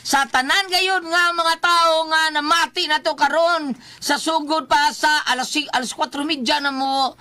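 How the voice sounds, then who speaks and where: Bright, effusive Visayan woman radio host. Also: high voice, steady tone, normal speed. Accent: Visayan Filipino. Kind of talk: casual on-air greetings and banter.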